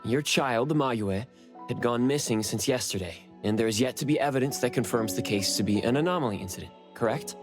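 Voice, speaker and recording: An actor playing a calm, helpful investigator in a video game cutscene, businesslike and reassuring. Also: male voice, medium pitch, a little fast, close to an everyday voice. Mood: composed, helpful. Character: calm, helpful male investigator hunting anomalies. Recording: background music under the voice.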